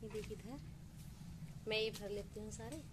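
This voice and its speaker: A woman's voice: mid-range voice, normal speed. A woman in her twenties chatting casually in a village vlog, showing things to the camera from a phone held at arm's length. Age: 20s. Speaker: vlogger. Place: outdoor village courtyard and rooftop. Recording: clear outdoor background noise.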